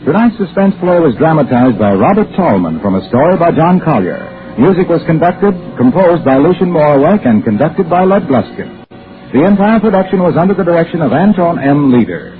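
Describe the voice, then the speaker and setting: An American man reading credits and previews as a 1940s radio announcer. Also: on the high side. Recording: thin, phone-like vintage radio recording. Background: music.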